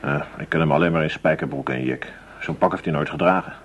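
A man's voice: extremely low pitch, quick pace, dull audio. An actor playing a terse, observant henchman in a radio drama, speaking in clipped, factual phrases. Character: terse, observant male henchman of a crime boss. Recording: noisy old radio drama recording.

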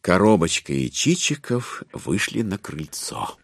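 A man's voice: sits low, very animated, a little slow, dry recording. A man narrating a literary audio play as a storyteller, in a studio production.

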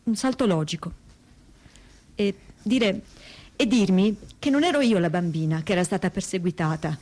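A woman acting an introspective, troubled daughter gives a personal testimony for the theatre. Her voice sits at an average pitch. She talks in a conversational way, pausing and searching as she thinks aloud. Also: darkish tone, lightly acted. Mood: uneasy, searching.

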